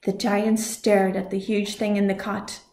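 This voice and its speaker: A woman reading a children's story aloud in an expressive storytelling way. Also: mid-range voice, a little slow. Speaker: librarian reading a picture book. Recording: echoey room.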